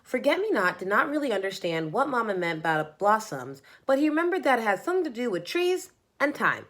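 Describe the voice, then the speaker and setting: A woman reading a children's storybook aloud as narrator, in an expressive storytelling manner. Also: fairly high pitch, brisk. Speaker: storybook reader.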